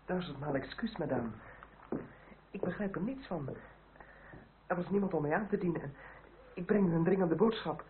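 An actor playing an earnest, deferential young revolutionary in a Dutch radio drama, courteous and somewhat halting in speech. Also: male voice, sits high, lightly acted. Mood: apologetic, formal. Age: young adult. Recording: tinny, band-limited, hissy old radio recording.

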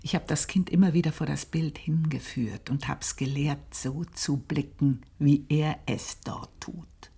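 A woman's voice: deep voice, fairly even intonation, vocal fry, dark tone, roomy sound. An actress playing an earthy, proud, aging housemaid, confiding her memories in a radio play monologue. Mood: intense, secretive. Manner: confiding, unhurried storytelling with pointed pauses. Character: earthy, proud aging housemaid reminiscing about past desires.

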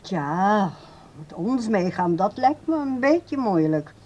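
An actress playing a controlling grown daughter in a radio drama, speaking in a brisk, formal, placating way. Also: female voice, mid-range voice, sing-song, darkish tone, lo-fi sound. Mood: polite, dismissive. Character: polite but evasive, controlling adult daughter.

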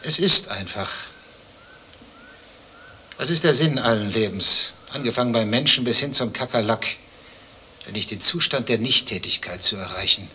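A man's voice: medium pitch, animated, moderate pace, pauses often, pushed and bright, a little hiss or hum. An actor playing a contrarian, dryly philosophical zoo visitor in a radio play, pedantic and probing in his talk.

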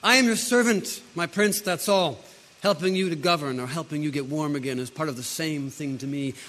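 An actor playing a suave, witty, frivolous courtier with polished, deferential speech in a theatrical period drama. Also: male voice, on the high side, brisk, a bit bright, natural voice. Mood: courteous. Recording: echoey hall with long reverb.

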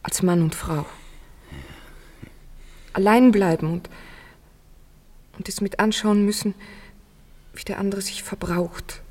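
An actress playing a poor young daughter, loving, playful yet earnest, in a 1950s German radio play with expressive delivery. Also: female voice, mid-range voice, takes it slowly, long pauses. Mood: pleading, earnest. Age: young adult.

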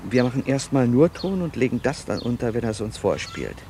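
An actor playing a bossy, enthusiastic, middle-aged TV director who explains and commands in a naturalistic radio play. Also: male voice, medium pitch, big pitch swings, brisk, warm tone, slight background noise. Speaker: TV film director character. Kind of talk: naturalistic radio drama acting.

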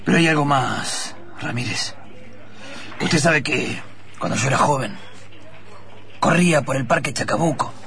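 A man playing an earnest, secretive older professor who speaks formally with dramatic pauses in improvised radio theatre. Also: mid-range voice, vocal fry, fairly bright tone. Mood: nostalgic, secretive.

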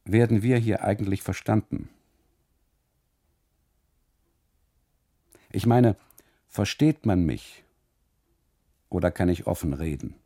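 Man acting an emphatic, mocking tourist, lively pitch. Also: measured pace. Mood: probing. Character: mocking, suspicious German male tourist, easily amused.

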